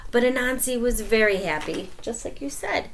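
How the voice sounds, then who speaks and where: A woman reading a children's picture book aloud as a storyteller, expressive, with dramatic pauses and emphasis. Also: on the high side, creaky, a little hiss or hum.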